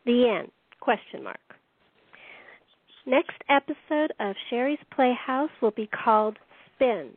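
A woman narrates a scripted radio play in a fairly high voice. She reads out scenes and stage directions as the narrator and host. The sound is thin and phone-like. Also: animated, slow pace. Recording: echoey room, tinny phone-like sound.

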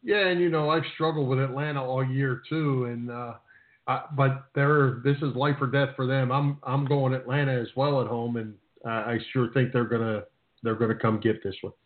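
American sports host on a roomy phone-like line. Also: male voice, mid-range voice, fairly even intonation, moderate pace. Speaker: sports talk show host. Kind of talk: casual sports talk.

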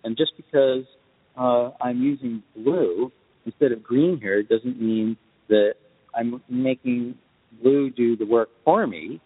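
A man with a husky voice explains his points in a deliberate, emphatic way, as in a podcast discussion. The sound is narrow and phone-like, with a long reverberant tail. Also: medium pitch. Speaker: guest film composer.

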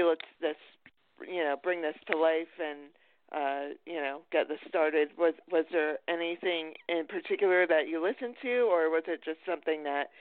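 A woman hosting a conversational podcast interview, with hesitant, halting phrasing. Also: sits low. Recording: thin phone-like audio.

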